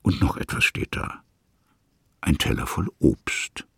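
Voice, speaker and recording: An actor narrating a literary radio drama as a musing male storyteller, in measured, fragmentary phrases with a gravelly, husky voice. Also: a bit bright, no room sound, studio quality.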